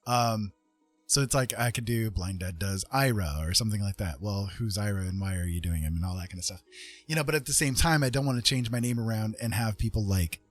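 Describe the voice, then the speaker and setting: Animated man rambling with a slightly husky edge. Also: lowish voice, fairly quick, soft background music. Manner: rambling, playful, self-mocking. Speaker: livestreamer. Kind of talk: casual livestream chat.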